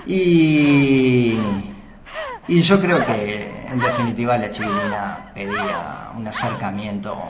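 Swaggering soldier, Uruguayan accent, blunt and rambling, echoey room, faint hiss. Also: male voice, medium pitch, takes it slowly. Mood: boastful. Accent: Uruguayan Spanish. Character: boastful crude male soldier brigadista. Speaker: comic guest character. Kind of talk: live radio comedy sketch.

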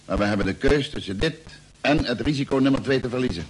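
An actor playing a blunt, pragmatic spaceship engineer in a Dutch radio play, speaking in a matter-of-fact way. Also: male voice, mid-range voice, quick pace, lightly acted. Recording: noisy old radio drama recording.

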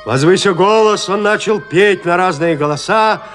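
A man narrating a literary audio drama in a measured, suspenseful manner with deliberate pauses. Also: sits high, fairly bright tone. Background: music.

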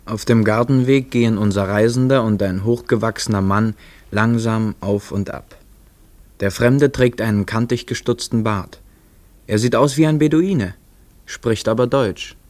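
A man's voice: on the low side, measured pace, darkish tone, close to an everyday voice. A man as a composed scene-setting narrator in a radio play, speaking in a plain, descriptive manner.

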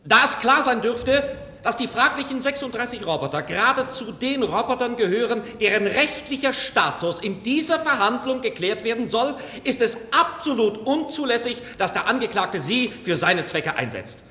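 A sharp, high-voiced, indignant lawyer. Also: male voice, expressive, normal speed, slight background noise, heavily acted. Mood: indignant, forceful. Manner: forceful, formal. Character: male prosecuting company lawyer, sharp, indignant, pompous. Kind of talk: 1960s-1990s German radio drama acting. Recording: echoey courtroom hall, old radio play.

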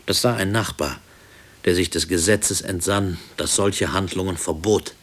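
An actor playing a brooding middle-aged husband haunted by wartime, narrating his thoughts in a measured, deliberate radio-play style. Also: low-pitched. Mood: sombre, bitter. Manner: measured, deliberate, matter-of-fact. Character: brooding married man haunted by wartime prison. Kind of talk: introspective first-person radio drama monologue.

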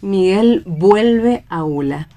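A woman's voice: medium pitch, animated, very slow, mellow. A woman reading out stage directions as scripted narration for a radio play.

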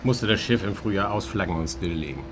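An actor playing an urbane, evasive, self-important shipping company boss in a radio drama. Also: male voice, low-pitched, a little fast, noisy background, natural voice. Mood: smug, dismissive. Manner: dismissive, urbane, condescending.

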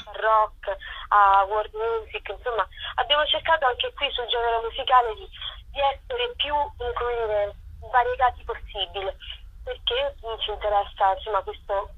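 A woman's voice: medium pitch, slightly rough, dry recording. A woman being interviewed on radio over the telephone, talking conversationally and searching a little for her words. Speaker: festival co-director, interview guest. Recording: dull, lo-fi telephone line.

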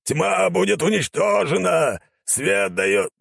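A man voicing a fanatical, dim old warrior of light in an audiobook, chanting slogans in drawn-out shouts. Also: a little high, steady tone, gravelly, no room sound, studio quality, voice pushed up, full character voice. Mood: zealous, raving.

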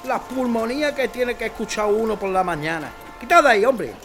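An actor playing a gruff, grumbling working man in a Spanish period radio drama. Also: very high-pitched, animated, fairly quick, noisy background.

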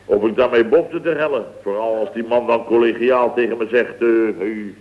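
An actor playing an indignant, sarcastic company boss of about fifty in a radio comedy, emphatic and repeating himself. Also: male voice, medium pitch, normal speed, close to an everyday voice. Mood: boastful, mock outrage. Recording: tinny, narrow-band old radio recording.

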